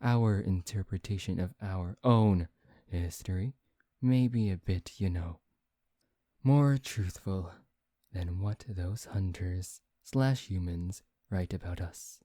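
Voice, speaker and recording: Man acting as a slow, low-voiced suave vampire. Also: dark tone, dry recording, studio quality. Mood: calm, explanatory. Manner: teasing, haughty, halting, addressing one listener. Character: suave, teasing male vampire, proud and quick-tempered. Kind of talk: intimate ASMR roleplay monologue.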